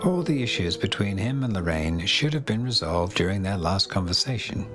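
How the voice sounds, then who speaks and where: A man's voice: low voice, lively intonation, moderate pace. A man narrating an audio drama in scripted, third-person storytelling. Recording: loud music behind the voice.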